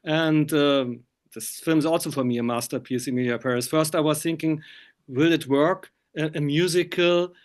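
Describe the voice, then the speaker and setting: A man chatting as an interviewer in halting, English. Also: a little high, steady tone. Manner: chatty, halting non-native English phrasing. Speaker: film magazine interviewer. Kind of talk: casual interview over video call.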